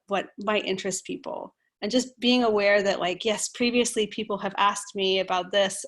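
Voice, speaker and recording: A woman on a webinar panel, reflective and practical, speaking softly. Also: mid-range voice. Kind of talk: casual panel answer.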